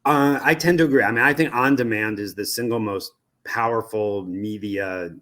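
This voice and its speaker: Interview guest, American man, lowish pitch, industry analyst explaining step by step. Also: moderate pace, fairly bright tone. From United States. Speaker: media research executive, interview guest.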